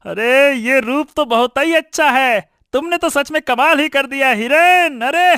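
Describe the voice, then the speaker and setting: A man voicing an excitable, carefree male donkey in an animated moral story, repeating his words as he exclaims. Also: extremely high pitch, unhurried, no echo, studio quality, heavily acted. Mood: delighted.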